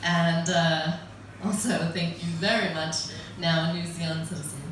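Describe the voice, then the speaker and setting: A woman's voice: on the low side, steady tone, normal speed, a little hiss or hum. A middle-aged American woman doing stand-up comedy, chatty and wry, laughing at her own jokes. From United States. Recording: echoey room, voice from a distance.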